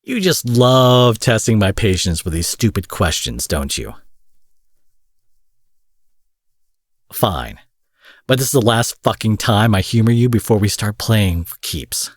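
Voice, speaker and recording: A man acts out an angry supervillain captor in a roleplay audio drama, his voice lowish, husky and very close and dry. He is theatrical and profane, taunting with mock sweetness and sarcastic menace. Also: lively intonation, moderate pace, dry recording. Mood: exasperated, menacing.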